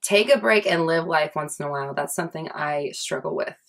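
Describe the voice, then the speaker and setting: A woman in her thirties speaks straight to her viewers in a loose, confessional vlog. Her voice sits low for a woman, and she talks in a chatty, candid way, full of likes and restarts, with casual swearing. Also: brisk, creaky. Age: 30s. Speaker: vlogger.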